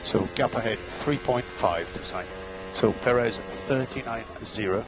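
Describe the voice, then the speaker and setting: A man's voice: fairly low pitch, unhurried, music in the background. A man working as a race engineer, giving terse, clipped instructions and data over motor racing team radio. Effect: team radio transmission.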